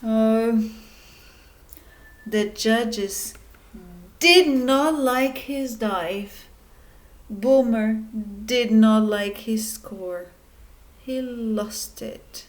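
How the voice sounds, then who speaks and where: A woman reading a children's picture book aloud, expressive and dramatic, with emphatic pauses. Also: on the high side.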